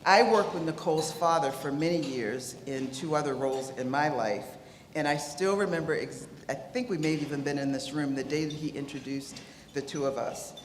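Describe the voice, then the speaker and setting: A woman's voice: deep voice, normal speed. A woman introducing panel guests and the moderator at an event, reading with small slips. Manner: warm, slightly fumbling introductions. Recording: echoey hall with background noise.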